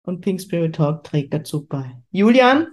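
A woman's voice: fairly low pitch, very animated, measured pace, a little mellow. A woman from southwest Germany hosting a podcast, chatting spontaneously in an effusive, exclamatory way. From Kurpfalz, southwest Germany. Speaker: podcast host, spiritual medium. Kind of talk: casual, spontaneous podcast chat.

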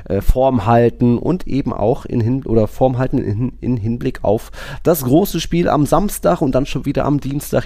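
A man's voice: lowish voice, warm tone, no room sound, clean studio sound. A man chatting casually as co-host of a football podcast, in slangy, colloquial language.

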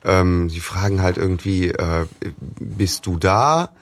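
A man's voice: sits low, slow pace. A man co-hosting a podcast, chatting casually about a radio drama, who laughs often and retells scenes. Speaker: podcast co-host.

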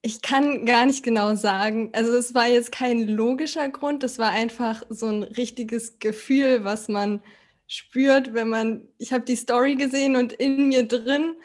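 A woman in her thirties chats as a guest on a podcast, her voice sitting a bit high. She talks unhurriedly and hesitantly, trailing off and restarting as she looks for the right word. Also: fairly even intonation, unhurried. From Berlin, Germany. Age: 30s. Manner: hesitant, searching for words with many fillers. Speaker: podcast guest asking questions. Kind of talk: casual podcast conversation.

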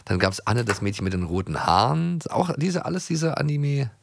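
A man chats as a podcast co-host, playful and enthusiastic. His pitch moves around a lot, and the sound is close and dry. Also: very animated, normal speed, vocal fry, dry recording. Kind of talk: casual chat.

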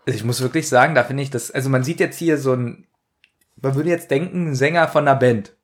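A man's voice: mid-range voice, fairly quick. A man co-hosting a podcast in casual, colloquial banter about audio dramas. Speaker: podcast host.

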